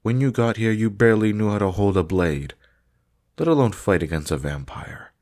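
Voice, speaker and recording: Guy doing a strict snooty vampire trainer, really low voice, formal n blunt, audio roleplay. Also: moderate pace, a little mellow, close to an everyday voice. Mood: stern. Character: stern, haughty male vampire combat master. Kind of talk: roleplay audio drama acting.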